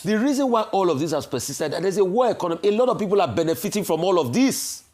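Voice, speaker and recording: A Nigerian man giving a security commentary on television, forceful and full of rhetorical questions. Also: sits high, sing-song, fast talker.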